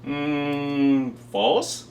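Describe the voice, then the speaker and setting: A man's voice: fairly high pitch, steady tone, drawn-out words, darkish tone, a little hiss or hum. A man speaking as a colleague at a retirement celebration. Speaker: colleague giving a tribute. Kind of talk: prepared tribute and short quiz answers.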